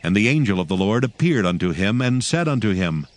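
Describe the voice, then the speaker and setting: A man giving a measured, solemn scripted narration of scripture. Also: lowish voice, sing-song. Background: music.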